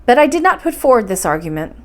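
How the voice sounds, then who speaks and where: A woman's voice: on the high side, sing-song, quick pace. A woman reading a storybook aloud in an audiobook-style narration. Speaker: storybook reader.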